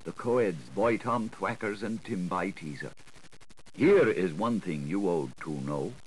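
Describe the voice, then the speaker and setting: A man reading a literary audiobook in a theatrical, playful way, shifting between questioning and answering voices. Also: fairly low pitch. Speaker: audiobook reader. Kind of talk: dramatic literary narration. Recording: scratchy, noisy 1980s audiobook recording.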